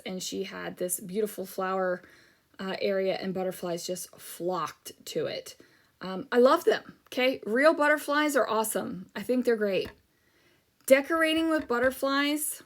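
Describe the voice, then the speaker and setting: A middle-aged woman hosting a scrapbooking channel, chatting casually and rambling with lots of ums, her voice a little worn from talking. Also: mid-range voice. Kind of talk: casual crafting chat over a process video.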